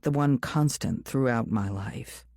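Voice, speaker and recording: A woman's voice: extremely low pitch, a little slow, mellow, no room sound, studio quality. A woman reading scripted first-person thriller narration as an audiobook narrator.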